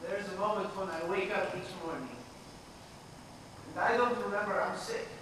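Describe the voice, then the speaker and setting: A man's voice: sits high, normal speed, close to an everyday voice. A young man playing an ailing, resigned elderly grandfather in an amateur stage monologue. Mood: resigned, tender. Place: school theatre stage, recorded from afar. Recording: echoey stage, distant mic, hissy noise.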